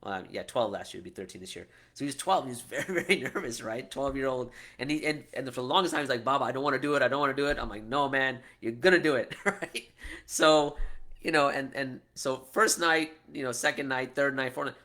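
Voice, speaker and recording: Bright-voiced man teaching casually. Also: medium pitch, quick pace. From United States. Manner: conversational, frequent "right?" tag questions and restarts. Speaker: Islamic teacher. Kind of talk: casual Q&A talk.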